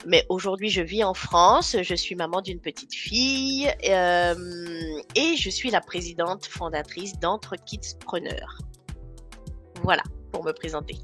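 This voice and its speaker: A woman from Guadeloupe, a guest speaking remotely in a podcast interview, earnest and pausing often on fillers. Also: fairly low pitch, a bit bright, soft background music. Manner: earnest, with frequent hesitation fillers. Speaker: guest, founder of an online training company. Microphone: remote call.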